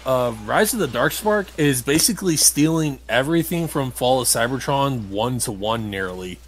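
A man talks casually over a video game he is playing, at a medium pitch. He has a grumbling, critical streak and swears mildly as he explains things like a let's play host. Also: animated, moderate pace, slight background noise.

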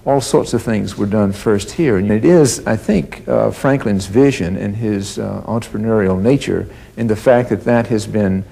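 Brief: middle-aged male university leader, low-pitched, stately formal address, some hesitations. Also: moderate pace, vocal fry, hardly any pauses, warm tone, breathy edge.

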